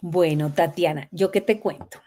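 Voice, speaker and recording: A middle-aged Colombian woman, a guest telling her life story in a lively way, laughing often. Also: lowish voice, normal speed. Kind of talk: conversational interview.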